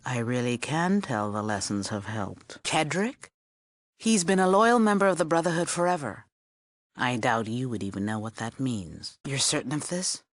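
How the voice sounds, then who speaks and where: A woman's voice: extremely low pitch, no echo, studio quality. A middle-aged woman playing a stern, learned scribe in a video game, with a formal, aloof and curt manner. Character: stern, learned female scribe of a post-war order, aloof. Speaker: game character. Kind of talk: video game character dialogue.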